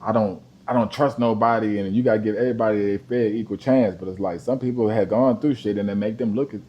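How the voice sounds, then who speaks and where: A man, co-hosting a podcast. He tells profane stories, dropping to a low mutter and then breaking into loud outbursts. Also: steady tone, quick pace, highs cut off. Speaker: podcast co-host. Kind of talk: casual chat, storytelling.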